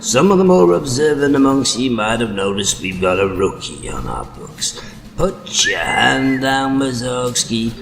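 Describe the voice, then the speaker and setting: A man's voice: mid-range voice, big pitch swings, measured pace, creaky. A man playing a brusque, commanding police sergeant in a cop-show parody audio drama. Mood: brusque, exasperated. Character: brusque police sergeant barking at roll call. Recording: echoey hall, noisy.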